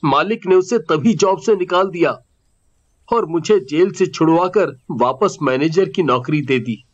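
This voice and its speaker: A man voicing an anguished, loving husband in a cartoon, speaking in a halting, emotional way. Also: on the high side, lively intonation, dull audio. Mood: earnest.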